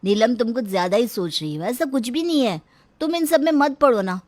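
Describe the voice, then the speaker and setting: A woman's voice: fairly high pitch, expressive, a little fast, darkish tone, no room sound, pitched above the performer's own voice, close to an everyday voice. A woman voicing an earnest, respectful grown son in a moral story. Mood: placating. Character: meek, respectful grown son finding his courage. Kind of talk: acted dialogue in a moral story.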